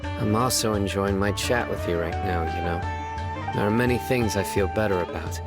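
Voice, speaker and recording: An actor playing a stern, serious young man who enforces the law, speaking in a grave, measured, restrained way. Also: fairly low pitch, lightly acted. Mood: solemn. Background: game music.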